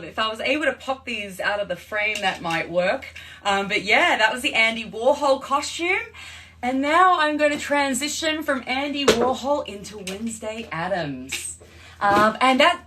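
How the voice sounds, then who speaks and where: A woman's voice: fairly high pitch, pushed and bright. Chatty Australian woman live-streaming. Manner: chatty, greets viewers while changing costumes. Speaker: livestream host. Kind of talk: casual live stream chat. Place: home room.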